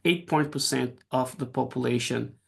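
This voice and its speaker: Man, Brazilian accent, raspy fry in the voice, mid pitch, repeats and restarts, seminar talk. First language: Portuguese. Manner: halting, frequent restarts and repeated words. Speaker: guest speaker. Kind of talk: academic seminar lecture. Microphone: video call.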